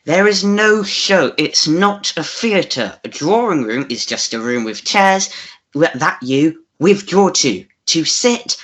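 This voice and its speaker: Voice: mid-range voice, expressive, slow, fairly bright tone. Someone playing a pompous scheming melodrama scoundrel. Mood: exasperated. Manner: pompous, scolding. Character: scheming scoundrel man, pompous, impatient, bossy villain. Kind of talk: amateur melodrama radio play acting.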